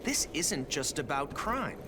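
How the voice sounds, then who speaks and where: A man's voice: fairly high pitch, sing-song, a little slow, heavy background noise. A man voicing a brainy, earnest young mutant turtle tactician in an action game, with precise, analytical phrasing. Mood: serious, explaining. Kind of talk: animated action game voice acting. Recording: loud music behind.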